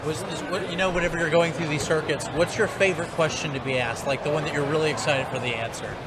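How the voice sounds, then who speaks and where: Fast-talking man in a noisy venue. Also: fairly high pitch, steady tone. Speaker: interviewer. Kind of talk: casual on-location interview. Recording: noisy busy public venue.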